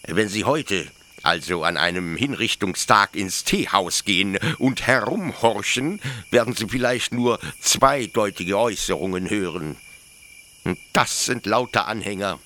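Over noisy insect ambience, a zealous male officer devoted to a brutal old order. He speaks slowly and theatrically, sweeping from hushed confidences to exalted declamation, full of fierce nostalgia in a radio play. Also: lowish voice, expressive. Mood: bitter, confiding. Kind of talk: radio drama monologue.

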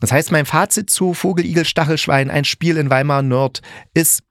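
A man's voice: on the high side, moderate pace, fairly bright tone, dry recording, studio quality. A middle-aged man from East Germany giving a casual, opinionated review as a podcast host, speaking bluntly and colloquially and correcting himself as he thinks aloud.

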